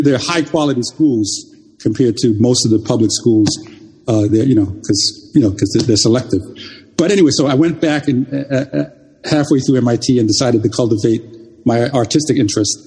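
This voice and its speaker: Reflective older man, mellow. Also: mid-range voice, breathy edge. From Jamaica. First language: Jamaican Patois. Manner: halting, many restarts and fillers, reflective. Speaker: interviewee. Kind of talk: oral history interview. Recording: long hall reverb.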